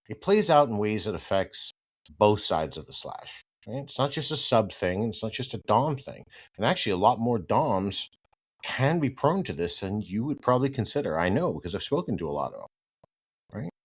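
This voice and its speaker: A man hosting a solo podcast, sounding congested and a little nasal from hay fever, talking in a conversational way and tagging "right?" onto many points. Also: fairly low pitch. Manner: conversational, repeats "right?" often, turns firm and emphatic when ranting. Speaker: podcast host.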